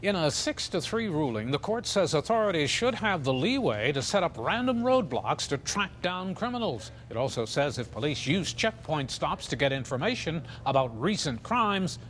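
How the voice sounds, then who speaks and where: A man's voice: fairly high pitch, sing-song, moderate pace, hardly any pauses, a bit bright, slight background noise. A man with an American accent anchoring a television newscast, reading scripted news.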